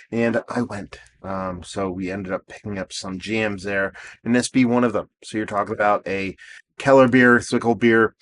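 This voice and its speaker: A man in his thirties, hoarse from losing his voice, casually reviewing a beer with rambling, self-deprecating asides and lots of fillers. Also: fairly low pitch, fairly bright tone, a little hiss or hum. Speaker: beer reviewer and show host. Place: outdoors.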